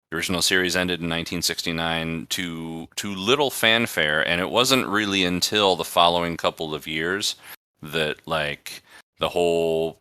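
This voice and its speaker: A man's voice: low voice, pushed and bright, clean tone, no room sound, clean studio sound. A man hosting a podcast, chatting casually in a rambling, conversational way. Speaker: podcast host. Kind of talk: casual chat.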